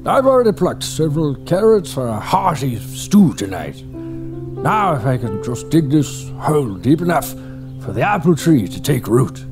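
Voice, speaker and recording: Man voicing an older historian, stubborn, gruff, slow-talking, lively pitch, music behind. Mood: grumbling. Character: older male English retired historian, stubborn and grumbling. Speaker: retired historian.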